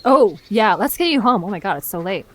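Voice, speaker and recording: A woman's voice: mid-range voice, expressive, a little fast, very noisy, lightly acted. A woman playing a friendly, tender-hearted and slightly nervous young woman in an audio drama, speaking sincerely. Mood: bright, friendly. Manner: hesitant, sincere. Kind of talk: audio drama character acting.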